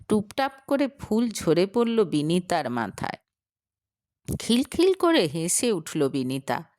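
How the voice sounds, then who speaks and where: Lady telling an audio story, takes it slow, really close dry sound. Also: medium pitch, a little mellow, no room sound. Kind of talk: scripted dramatic storytelling narration.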